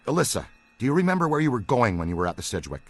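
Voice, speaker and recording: Lively, earnest male ghost hunter. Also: medium pitch, racing, husky. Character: earnest, excitable paranormal investigator, adult man. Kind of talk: video game cutscene acting.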